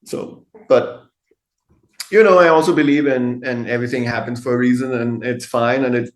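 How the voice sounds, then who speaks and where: A middle-aged man with a Danish accent talks in an easygoing, candid way, swearing now and then and laughing often. His voice sits at an ordinary middle pitch. The room around him gives it a noticeable echo. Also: fairly even intonation, normal speed. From Denmark. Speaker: guest, tattoo artist and photographer. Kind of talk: casual interview conversation. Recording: echoey room.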